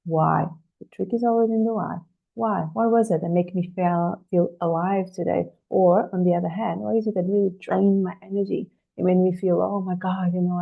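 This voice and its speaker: A woman's voice: on the low side, phone-quality sound. A woman career coach leading a live online workshop over a video call, talking through a cold with a slight cough, earnestly putting questions to her listeners. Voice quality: husky, gravelly voice. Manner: earnest, rhetorical questions to the audience.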